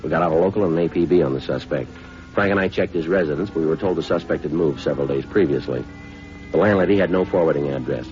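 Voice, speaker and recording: A low-voiced, deadpan police detective from 1950s radio drama. He talks fast in clipped, polite, businesslike phrases, and the old recording sounds dull. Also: soft background music. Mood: matter-of-fact. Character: terse, businesslike, patient male police detective sergeant.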